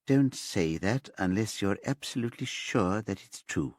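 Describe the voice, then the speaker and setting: A male narrator plays a calm, steady man in a thriller audiobook. He speaks gently and deliberately to someone troubled, in a very dry recording. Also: on the low side, no room sound. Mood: calm, reassuring. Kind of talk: audiobook character dialogue.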